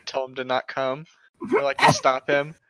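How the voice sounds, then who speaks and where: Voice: medium pitch, level tone, moderate pace, a bit bright, no room sound. A speaker reading aloud casually, irreverent and riffing, breaking off into joking asides, on a slightly muffled microphone. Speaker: co-host of a creepypasta read-along.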